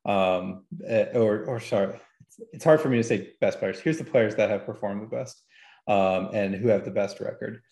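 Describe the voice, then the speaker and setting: Low-voiced man in an echoey room. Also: moderate pace, a little mellow. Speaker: podcast guest. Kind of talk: casual discussion of game statistics. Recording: roomy, echoey sound.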